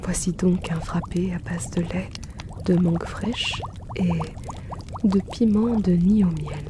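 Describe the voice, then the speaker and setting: Slow, low-voiced, courteous potion shopkeeper played by a woman. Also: steady tone, background noise. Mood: attentive, welcoming. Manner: polite, attentive, unhurried, teasing gently. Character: courteous female potion shopkeeper in a fantasy world. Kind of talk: French roleplay audio, gentle scripted in-character address.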